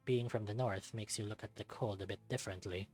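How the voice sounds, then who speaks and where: Man voicing a soft, low, guarded raccoon gentleman. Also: steady tone, fairly quick, soft background music, natural voice. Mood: casual, wistful. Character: suave, guarded male raccoon, teasing yet bitter.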